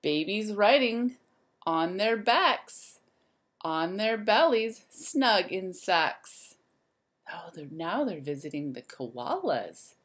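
Animated woman reading slowly to children. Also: mid-range voice. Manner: slow, sing-song, addressing children directly. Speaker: teacher reading to young children. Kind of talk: expressive children's picture-book read-aloud with asides.

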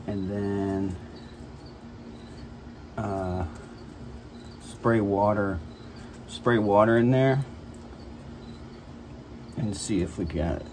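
A man's voice: on the low side, noisy background. A man casually thinking aloud as he works on a repair in his basement, filming on a handheld phone. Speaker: DIY homeowner filming a repair. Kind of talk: casual narration while working. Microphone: handheld phone camera.

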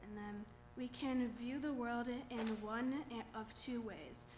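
Young woman reading quotes at church, kinda high voice, echoey with light noise. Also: steady tone, slow pace, a little mellow. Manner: reads quotes then names the author. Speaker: reader. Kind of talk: reading quotations aloud. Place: church sanctuary at a lectern.

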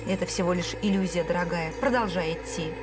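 A woman plays a kindly cartoon fairy godmother, grown-up and steadfast. Her low voice is calm and reassuring as she guides, with music prominent behind her. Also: brisk, close to an everyday voice. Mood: calm, firm. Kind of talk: cartoon dubbing.

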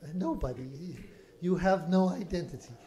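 An actor playing an innocent, very old apprentice angel in a radio play, gentle and literal-minded as he explains things. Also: sits high, normal speed, a little hiss or hum. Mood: gently explaining.

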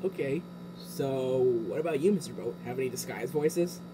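A man's voice: medium pitch, normal speed, noisy background, lightly acted. A man playing a snarky, reluctant dockworker lad, blunt and playful, in an amateur cartoon parody. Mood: playful, teasing.

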